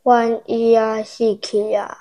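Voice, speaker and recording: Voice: mid-range voice, completely flat delivery, slow pace, mellow, close to an everyday voice, rough recording. A performer with a Taiwanese accent playing a naive young village schoolboy in a radio drama. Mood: upset.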